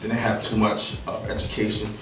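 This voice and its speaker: A man's voice: on the low side, monotone, moderate pace, background noise. A man from Liberia in his thirties presenting an informal real estate workshop, talking conversationally with lots of "right?" and "um". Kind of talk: informal seminar talk. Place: room in a house, with a seated audience. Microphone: far camera. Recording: echoey room, picked up from a distance.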